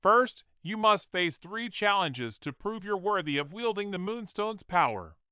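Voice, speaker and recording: Tiny winged sprite for a children's storybook, played by a man: high, lilting, cheerful and encouraging. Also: very animated, measured pace, clean tone, no echo, highs cut off. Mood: instructive.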